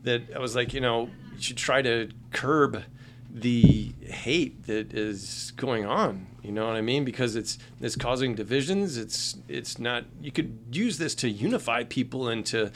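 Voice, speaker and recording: A man's voice: mid-range voice, measured pace. A man co-hosting a casual chat, explaining things in a conversational way. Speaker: podcast co-host. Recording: clear background noise with hum.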